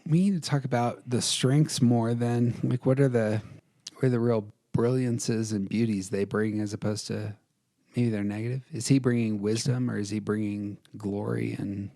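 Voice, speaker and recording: A man's voice: mid-range voice, steady tone, normal speed, gravelly, warm tone, no room sound, studio quality. A man co-hosting a podcast in casual debate, bantering in a self-deprecating way. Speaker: podcast co-host.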